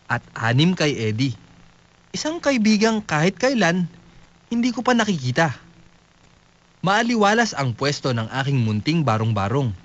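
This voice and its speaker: A man playing a naive young squatter who solemnly and proudly reads his letter in a comic radio drama. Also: fairly high pitch. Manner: solemn, letter-reading delivery. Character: naive, earnest young male squatter writing home with pride. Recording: dull lo-fi radio broadcast.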